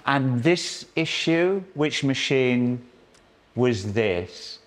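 An older male auditor asks short, probing questions in a factory office. His voice sits at an ordinary pitch, picked up by a close clip-on mic. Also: expressive, drawn-out words.

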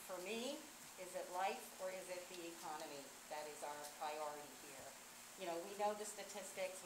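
A woman making a public comment at a board meeting, measured and repeating phrases for emphasis. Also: lowish voice. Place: large indoor board meeting chamber. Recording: echoey, noisy meeting room.

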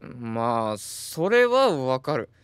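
A man playing an exasperated new high school teacher with a Kansai accent in an audio drama. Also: sing-song, drawn-out words, clear, resonant tone, no room sound.

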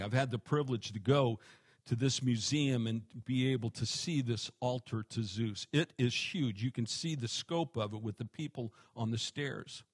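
A man in his forties, a pastor giving an animated expository sermon on a headset mic. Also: mid-range voice, breathy edge, no room sound, clean studio sound. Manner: animated, gestures a lot, varies loudness for emphasis.

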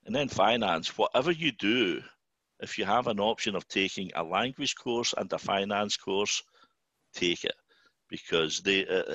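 Deep-voiced older Glasgow man. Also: pushed and bright, no room sound, dull audio. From Scotland. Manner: chatty, repeats words when starting sentences. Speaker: guest speaker, company executive. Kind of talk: informal talk to students.

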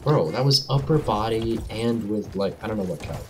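A young man gaming and joking over voice chat in deadpan, mock-offended banter. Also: on the low side, brisk, background noise. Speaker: gamer. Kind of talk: casual voice chat while playing.

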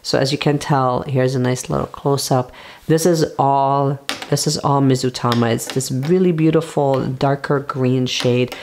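A woman's voice: a bass voice, fairly even intonation, measured pace, darkish tone, a little hiss or hum. A woman hosting a stationery channel in a casual, chatty showcase, her voice still recovering from being lost. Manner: chatty, conversational. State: voice recovering after losing it. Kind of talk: casual product showcase to camera.

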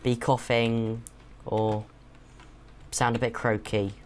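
A young man with a mildly croaky voice from a sore throat, bantering casually with his dad during a game. He teases and hesitates, filling gaps with ums, and speaks into a home gaming mic. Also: medium pitch, level tone, takes it slowly, a little mellow, a little hiss or hum. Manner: chatty, teasing, hesitant with frequent ums. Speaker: co-host playing a game with his father.

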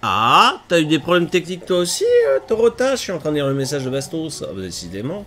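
A man's voice: a little high, sing-song, fast, fairly bright tone. Chatty man with a cold, streaming. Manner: chatty, self-mocking, fussing over tech trouble. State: stuffy with a cold. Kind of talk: casual live-stream chat while gaming. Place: small room at home, gaming chair.

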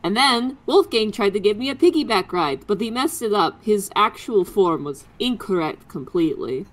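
Woman playing precise, animated construct T-Wig. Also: medium pitch, normal speed, natural voice. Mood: precise, pedantic. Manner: precise, literal, pedantic. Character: literal-minded, matter-of-fact construct without nerves, T-Wig. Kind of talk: tabletop roleplay in character.

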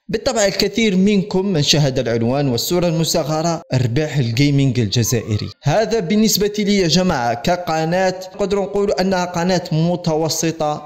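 Young male YouTuber, Algerian accent and native Algerian Arabic, higher-than-average voice, rapid emphatic delivery to camera. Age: young adult. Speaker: gaming YouTuber. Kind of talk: direct-to-camera vlog in formal Arabic. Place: small room at home set up as a studio.

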